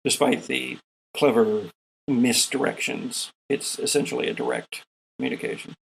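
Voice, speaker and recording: A man acting as game master, narrating an improvised tabletop game in a measured, halting explanatory way. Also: mid-range voice, vocal fry, breathy edge, rough recording.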